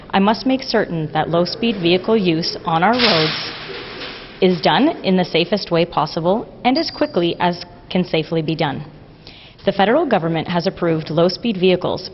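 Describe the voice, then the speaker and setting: A woman, a press secretary reading a prepared statement in a formal, measured way in an office hallway. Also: lowish voice. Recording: lo-fi with long reverb and faint hiss.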